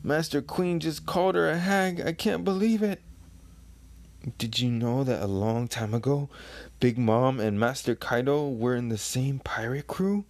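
A jittery, gossiping pirate minion from a comic, put on by a man reading aloud. The voice rises in pitch with timid nerves, in a dry recording. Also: measured pace, no echo, lightly acted. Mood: fearful awe. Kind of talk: comic book read aloud in character.

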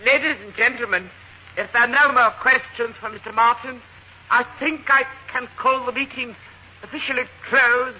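A man's voice: very high-pitched, fairly even intonation, normal speed, highs cut off. A man playing a fussy chairman who formally closes a lecture meeting in a 1950s radio drama. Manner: formal announcing. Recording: hissy old radio broadcast.

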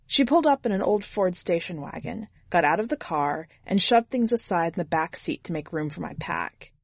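A woman's voice: lowish voice, expressive, moderate pace, gravelly, no room sound. A woman reading a scripted short story as a podcast narrator. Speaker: podcast fiction reader.

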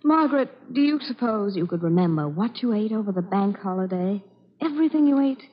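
An actress playing an earnest, determined American nurse with a professional, reassuring manner in a 1940s radio drama. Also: female voice, mid-range voice, lively intonation, brisk, close to an everyday voice. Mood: gentle, earnest. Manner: earnest, clearly delivered. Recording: dull old radio broadcast.

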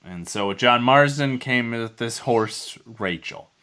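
A man's voice: mid-range voice, measured pace, sharp tone, smooth. A man telling a story casually as a podcast co-host, rambling and swearing freely.

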